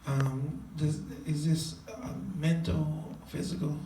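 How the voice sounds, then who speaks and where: A man in his twenties, a preacher, answering interview questions hesitantly with many fillers and soft laughs. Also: a little high, flat delivery, slow, a little hiss or hum. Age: 20s. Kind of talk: casual Q&A interview. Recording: echoey room, far from mic.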